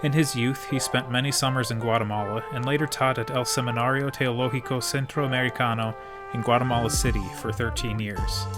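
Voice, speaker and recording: A man in his thirties hosts a podcast, reading his introduction in a measured, earnest way. A music bed plays clearly beneath his voice. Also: mid-range voice. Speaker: podcast host. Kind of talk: scripted podcast introduction and interview.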